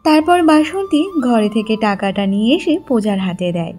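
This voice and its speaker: A woman's voice: medium pitch, big pitch swings, normal speed, loud music behind the voice. A woman storyteller reading scripted narration for a cartoon moral story.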